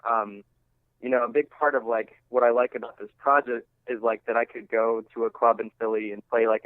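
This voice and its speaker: A man being interviewed as a musician, chatting casually and haltingly, often saying "like" and "you know". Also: mid-range voice, dry recording. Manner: halting and conversational, heavy use of "like" and "you know". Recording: thin phone-line sound.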